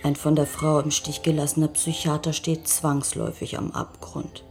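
Cool, mocking middle-aged wife played by an actress. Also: female voice, deep voice, level tone, moderate pace, a little mellow, faint music behind, lightly acted. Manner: dry, teasing, self-possessed. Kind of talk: naturalistic radio drama dialogue.

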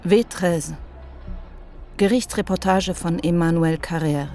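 A woman's voice: on the low side, takes it slowly, warm tone, faint music behind. A woman announcing and interjecting briefly in a radio drama, with a clipped, sober delivery. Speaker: announcer and second narrator.

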